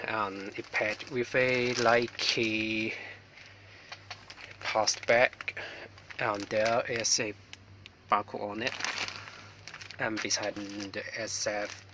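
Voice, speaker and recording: Slow, hesitant man with a Hong Kong accent. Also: lowish voice, little pitch movement. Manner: hesitant, apologetic, many ums. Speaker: vlogger. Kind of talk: casual product unboxing. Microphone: mobile phone. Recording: distant phone mic with faint noise.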